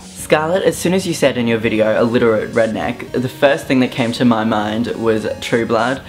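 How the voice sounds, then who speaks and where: A young man from Southeast Queensland with an Australian accent, talking casually to camera with an offhand, relaxed manner and frequent ums. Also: mid-range voice, moderate pace, soft background music. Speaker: vlogger. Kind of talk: casual vlog monologue.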